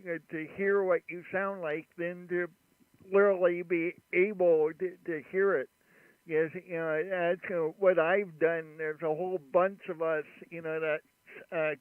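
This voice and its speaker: A man with a high, smooth voice chats on the radio at an easy pace. The sound is dry and narrow, like a radio band. He rambles with plenty of fillers. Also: dry recording. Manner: relaxed, rambling, many fillers. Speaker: ham radio operator hosting a livestream. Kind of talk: casual radio chat. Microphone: studio mic at his station.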